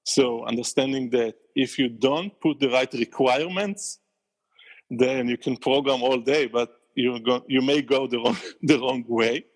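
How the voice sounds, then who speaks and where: A man with an Israeli accent, a company founder, answering questions in a podcast interview with thoughtful, halting replies and frequent laughs. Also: mid-range voice, fairly even intonation, poor audio. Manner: thoughtful, many restarts, laughs often.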